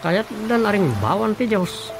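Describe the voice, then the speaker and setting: An opinionated village councilman with an Ilocano accent. His voice is a little high and lightly creaky, conversational and grumbly, over clear background music. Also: lively intonation, measured pace, full character voice. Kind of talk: radio drama acting. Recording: music bed under dialogue.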